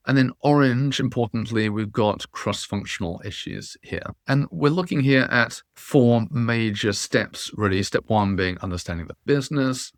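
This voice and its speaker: A man giving an explanatory professional webinar, speaking in a measured, methodical way and stressing key points. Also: on the low side, dry recording, studio quality. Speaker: presenter, transfer pricing specialist. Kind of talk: explanatory webinar presentation with slides.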